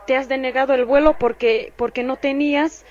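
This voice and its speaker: A woman's voice: sits high, steady tone, moderate pace, a little mellow. A woman interviewer chatting conversationally with a caller on a radio programme. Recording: muffled radio recording.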